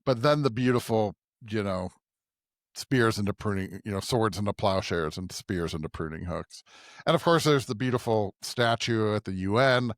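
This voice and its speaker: A man's voice: on the low side, animated, normal speed, no room sound, studio quality. A man co-hosting a conversational podcast on scripture, thinking aloud reflectively and often checking in with a tag question. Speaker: podcast co-host. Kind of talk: conversational Bible discussion.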